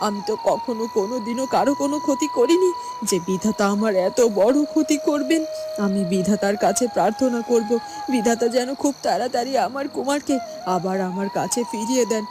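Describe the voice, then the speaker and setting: A woman's voice: a little high, animated, brisk, background noise, natural voice. A woman playing a grieving, devout and defiant queen mother in a fairy-tale cartoon.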